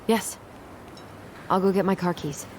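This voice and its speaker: Low-pitched guarded young American woman game actress. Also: measured pace, a little mellow, a little hiss or hum, natural voice. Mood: defensive. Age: young adult. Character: guarded young woman, loyal to her family, easily exasperated. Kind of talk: naturalistic video game dialogue.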